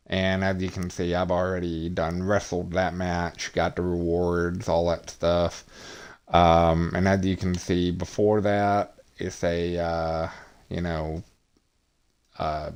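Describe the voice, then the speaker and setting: A man streaming a wrestling video game, talking in a monotonous, hesitant way with many pauses and fillers. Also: deep voice, smooth. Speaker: gaming streamer. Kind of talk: casual game commentary.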